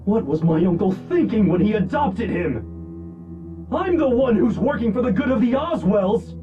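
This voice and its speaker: A bitter, entitled noble from a video game, seething theatrically. His pitch is high and swings widely. The sound is a little distant, with music behind it. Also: big pitch swings, measured pace, muffled recording. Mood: furious. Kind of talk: dramatic video game voice acting.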